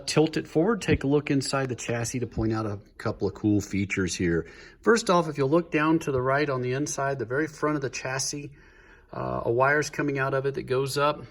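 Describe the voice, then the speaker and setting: A man's voice: mid-range voice, fairly quick. A man casually presenting a hobby build in an informal, chatty, lightly joking way, recorded on a handheld phone. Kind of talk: casual show-and-tell walkthrough.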